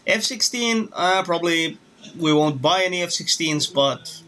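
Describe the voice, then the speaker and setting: Bright-voiced man rambling while flying. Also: on the high side, animated, unhurried, rough recording. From Romania. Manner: rambling, hesitant, many restarts. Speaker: flight sim streamer. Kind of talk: casual live-stream chat while flying.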